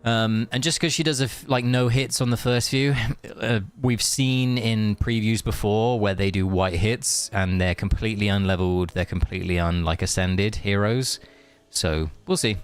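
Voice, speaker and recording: A man's voice: lowish voice, steady tone, moderate pace, hardly any pauses, clean tone, soft background music. A man chatting casually on a game live stream, rambling and answering viewers as he plays. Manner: rambling, chatty, reads chat aloud.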